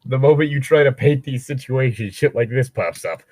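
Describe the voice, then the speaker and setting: Low-voiced, sweary man riffing casually. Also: moderate pace, a little mellow, no echo. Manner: sweary, wry, digressive. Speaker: co-host of a fan fiction reading show. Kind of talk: casual joking commentary while reading a story aloud.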